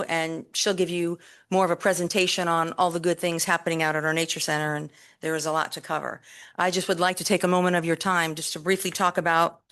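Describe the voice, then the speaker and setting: A woman giving a loud, emphatic staff presentation at a public board meeting, speaking into a podium microphone with deliberate pauses. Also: lowish voice. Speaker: city recreation staff presenter.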